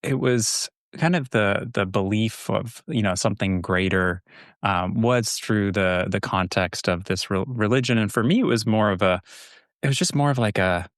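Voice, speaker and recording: A man's voice: lowish voice, normal speed, no room sound, studio quality. A young man from California, a podcast guest, speaking reflectively with many fillers and restarts. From California, USA. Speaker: podcast guest, holistic health coach. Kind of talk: casual interview conversation.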